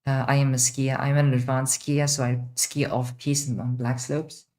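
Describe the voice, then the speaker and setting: A woman's voice: extremely low pitch, flat delivery, moderate pace, darkish tone. Blunt, profane young streamer woman, mixed accent. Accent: mixed international. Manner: blunt and profane, answering chat questions. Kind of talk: casual livestream Q&A.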